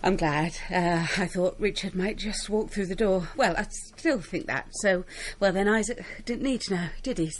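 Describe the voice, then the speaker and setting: A woman's voice: mid-range voice, normal speed, hardly any pauses, slight background noise, lightly acted. An actress playing a strained, distracted mother, hesitant and apologetic, in a naturalistic radio drama. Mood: anxious, hurt.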